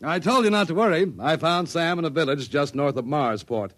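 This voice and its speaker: An actor playing a glib, fussy ball club owner with a genteel, explanatory manner in a 1950s radio drama. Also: male voice, fairly high pitch, a little fast, a bit bright, no echo, lightly acted. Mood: smug explaining.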